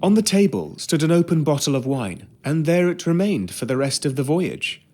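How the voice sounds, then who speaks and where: A polite ship's steward in a period adventure game, telling his memories in a measured, reflective way. His voice is slightly high and melodically varied. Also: big pitch swings, warm tone. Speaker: actor in a narrative adventure game. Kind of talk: scripted first-person period narration.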